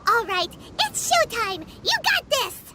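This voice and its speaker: An actress voicing a tiny, excitable female floating companion in a video game who talks about herself in the third person. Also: extremely high pitch, a little slow, very noisy, full character voice. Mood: chatty.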